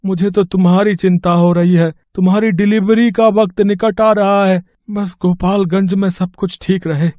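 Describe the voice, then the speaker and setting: A man's voice: sits high, steady tone, moderate pace, hardly any pauses, darkish tone, no room sound, natural voice. A man voicing a poor village labourer, a caring husband and father, earnest and fretful, in a moral-story cartoon. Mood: worried.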